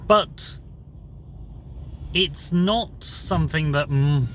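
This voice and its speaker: A man's voice: a little high, extremely slow, background noise. A man musing aloud informally and retelling stories, thinking as he goes and correcting himself. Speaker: solo commentator on Bible and fairy tales.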